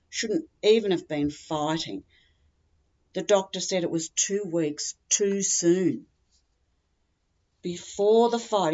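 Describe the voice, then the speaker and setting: A woman's voice: fairly low pitch, a little mellow, highs cut off. A woman with an Australian accent playing a chatty, tactless older woman who rambles on obliviously in a humorous monologue. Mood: excited, gossipy. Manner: rambling, matter-of-fact, oblivious, opinionated.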